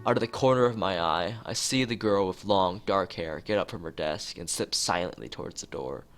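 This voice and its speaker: A man's voice: deep voice, normal speed. A man streaming, reading a visual novel aloud and often stumbling over phrases and repeating them. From United States. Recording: music playing behind the voice.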